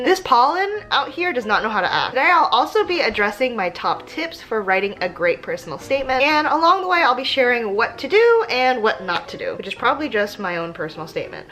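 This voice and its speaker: Female vlogger, 20s, American. Highish, very lively intonation, casual and chatty, self-teasing. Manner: chatty, playfully self-mocking, dramatic emphasis. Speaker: YouTube host, dental student. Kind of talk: casual vlog commentary with tips.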